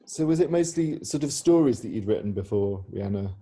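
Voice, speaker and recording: A woman's voice: rumbling low, expressive, fairly quick, mellow, breathy edge. A woman hosting an informal Q&A, asking questions politely. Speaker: host of a playwright Q&A.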